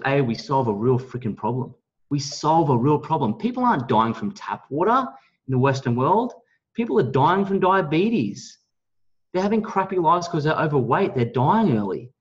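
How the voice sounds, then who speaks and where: Blunt, emphatic man, dull audio. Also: on the high side. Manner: emphatic, blunt, salesy, mildly profane. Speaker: network marketing leader, guest speaker on a team call. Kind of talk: motivational business pitch.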